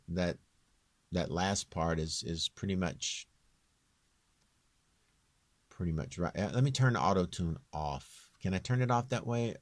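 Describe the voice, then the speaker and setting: Man, deep near-bass voice, off-the-cuff walkthrough, self-deprecating, rambling, dry room-free recording. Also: no room sound. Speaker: home-recording singer giving a tutorial. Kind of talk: casual off-the-cuff software walkthrough.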